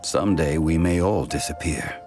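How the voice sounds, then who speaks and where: An ancient, dignified god in a video game. The voice is very deep and measured, with formal, reflective phrasing. Soft music plays underneath the solemn delivery. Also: male voice. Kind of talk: scripted video game dialogue. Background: background music.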